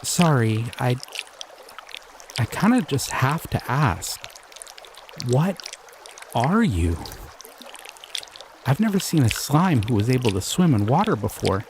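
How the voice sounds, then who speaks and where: A man voicing a gentle, curious male slime creature in an audio roleplay; he is soft-spoken, easily delighted and chuckles often. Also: mid-range voice, sing-song, slow, pauses often, a little hiss or hum.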